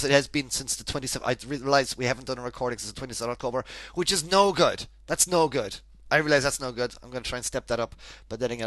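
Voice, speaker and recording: A man's voice: a little high, fairly bright tone, dry recording. A man hosting a casual podcast, chatty and rambling with many restarts. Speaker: podcast host.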